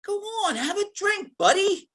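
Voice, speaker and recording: A man's voice: very high voice, animated, normal speed, a bit bright. A performer playing a dim, lovestruck male gangster in an old-time radio play, stammering and bumbling in slangy talk. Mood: pushy, impatient.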